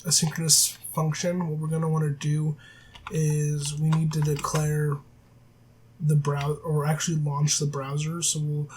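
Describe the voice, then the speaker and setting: A man with a cold-roughened raspy voice, teaching a coding tutorial over a screen recording with many filler sounds and self-corrections. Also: fairly high pitch, monotone, measured pace, a little hiss or hum, low-quality recording. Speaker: programming tutor. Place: at a desk, voice-over of screen capture. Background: faint keyboard typing.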